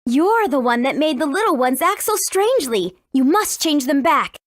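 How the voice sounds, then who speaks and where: A woman voicing a confident, bossy teenage girl adventurer in a video game, brisk and wry. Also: high voice, very animated, no room sound, studio quality. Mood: exasperated. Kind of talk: video game character acting.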